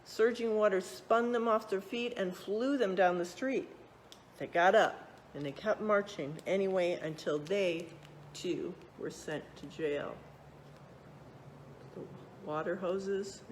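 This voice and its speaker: A woman reading a children's picture book aloud, expressively, pausing to check in with young listeners. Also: on the low side, slight background noise, poor audio. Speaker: read-aloud storyteller.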